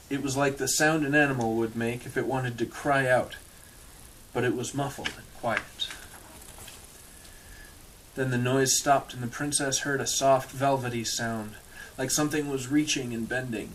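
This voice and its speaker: A man's voice: mid-range voice, a bit bright, slight background noise, rough recording. Man reading a storybook, dry and irreverent. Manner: dry, irreverent, swears in asides. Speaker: storyteller reading a picture book aloud to an audience. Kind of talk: storybook read-aloud with casual asides.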